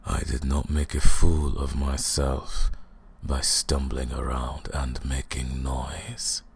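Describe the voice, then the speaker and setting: Very deep, gravelly vampire lord. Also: male voice, level tone, a little hiss or hum, exaggerated voice. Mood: smug, menacing. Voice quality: very deep, gravelly, husky, breathy. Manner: slow, deliberate, condescending, theatrical. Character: menacing, arrogant male vampire lord. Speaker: audio roleplay performer. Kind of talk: ASMR fantasy roleplay monologue.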